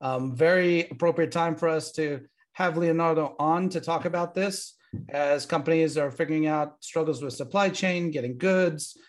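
Male podcast host, fairly high voice, enthusiastic, casual. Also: normal speed. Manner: upbeat, enthusiastic, frequent ums. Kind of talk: casual interview hosting.

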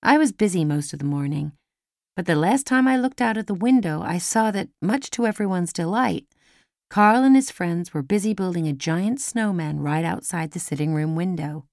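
Low-pitched woman narrator, slightly creaky. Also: lively intonation, moderate pace, a little mellow, dry recording, studio quality. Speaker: audiobook narrator. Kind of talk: scripted first-person story narration.

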